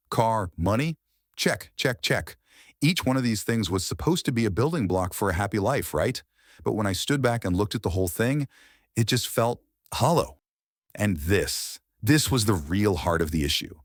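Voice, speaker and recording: A man in his thirties whose first language is Farsi, a meditation teacher and life coach telling his personal story in a reflective, animated way. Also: lowish voice, no echo, clean studio sound. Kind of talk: personal storytelling podcast monologue.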